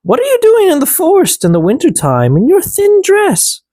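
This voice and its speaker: A tiny magical elf from a storybook , acted out by an audiobook reader, speaking in a thin, high voice. The pitch swoops about in a lively way, and the sound is close and dry. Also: moderate pace, no echo, full character voice. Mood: eager, curious. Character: three tiny magical elf men, judging and granting gifts.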